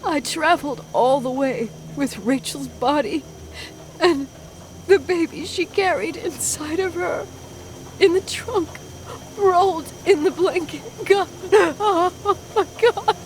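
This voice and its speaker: An actress playing a distraught grown woman haunted by a repressed memory, speaking in a hushed, halting, anguished way in a dramatic video game. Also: very high voice. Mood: anguished, breaking down. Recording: clearly noisy ambience behind the voice.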